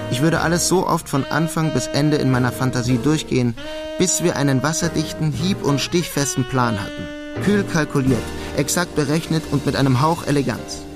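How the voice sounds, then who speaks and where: An actor playing a proud, wry, disillusioned would-be artist turned small-time crook in a German radio crime drama. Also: male voice, medium pitch, lightly acted.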